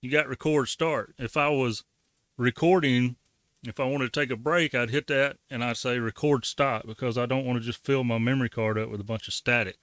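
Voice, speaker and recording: A man's voice: medium pitch, steady tone, fairly quick, fairly bright tone, dry recording. A man giving a casual, folksy how-to walkthrough as the host of a hobby tutorial. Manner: folksy, colloquial. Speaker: ham radio tutorial host.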